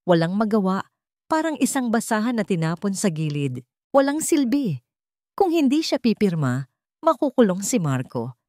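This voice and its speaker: A woman's voice: mid-range voice, big pitch swings, moderate pace, dark tone, dry recording, clean studio sound. A woman narrating a scripted story as a dramatic storyteller.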